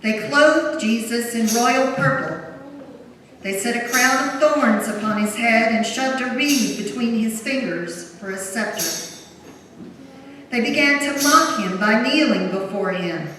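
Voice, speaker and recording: A woman's voice: a little high, measured pace, fairly bright tone. A woman reading scripture as narrator in a solemn dramatic style in a church. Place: large church during a service. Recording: very echoey church, distant, faint noise.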